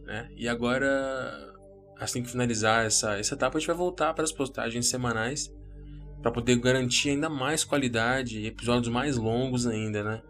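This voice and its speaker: A Brazilian man hosts and narrates a horror podcast. His voice sits at an average male pitch, with music playing faintly behind it. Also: fairly even intonation, moderate pace, fairly bright tone. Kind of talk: first-person horror story narration.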